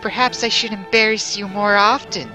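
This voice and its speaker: A woman's voice: medium pitch, fairly even intonation, moderate pace, bright, forceful tone, soft background music, close to an everyday voice. A woman playing a genteel, indulgent but easily overwhelmed mistress with theatrical delivery in a comic story reading. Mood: teasing, indulgent.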